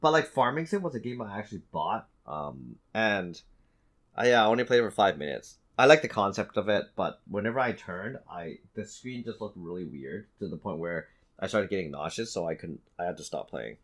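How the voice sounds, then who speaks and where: Low-voiced man with animated, swinging intonation. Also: brisk. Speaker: podcast co-host. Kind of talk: casual chat about video games.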